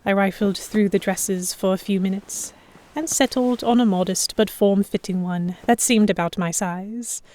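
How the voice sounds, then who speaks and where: A woman playing a stubborn, sharp-tongued captive of pirates, narrating an audio drama in the first person. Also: mid-range voice, fairly even intonation, normal speed, hardly any pauses, lightly acted. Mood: puzzled, wary.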